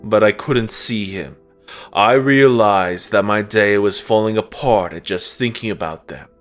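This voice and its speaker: A man's voice: on the low side, measured pace, fairly bright tone. A man voicing a bitter, imperious fallen god in a comic dub, speaking in a brooding, contemptuous and menacing monologue. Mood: bitter, defeated. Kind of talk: dramatic comic dub narration. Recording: music under the voice.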